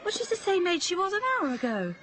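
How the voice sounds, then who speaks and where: An actress in a radio drama playing an anxious, sharp wife and mother who grows terrified. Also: very high voice, big pitch swings, fast, slight background noise, full character voice. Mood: brisk, scolding.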